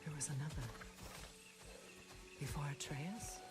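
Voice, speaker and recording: Bitter, proud goddess over music. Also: female voice, deep voice, a little slow. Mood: subdued. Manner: guarded, cutting, dryly sardonic. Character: proud, bitter goddess grieving her son, vengeful. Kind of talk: cinematic video game acting.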